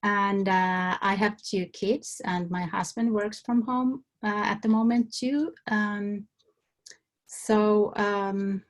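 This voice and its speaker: A woman from Finland answering survey questions, hesitant and pausing often, with many fillers and restarts. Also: mid-range voice, steady tone. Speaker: survey respondent.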